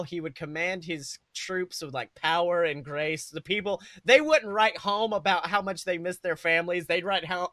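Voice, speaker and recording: A man's voice: on the high side, sharp tone, no echo. A man co-hosting a podcast, improvising a comedic story in an informal, sweary way with dramatic build-ups. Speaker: podcast co-host.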